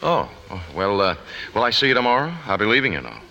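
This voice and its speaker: An actor playing an earnest, bashful movie star in love, stammering boyishly in a 1950s radio drama. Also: male voice, lowish voice, big pitch swings, fast, heavily acted, poor audio. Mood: concerned. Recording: 1950s radio broadcast with loud music.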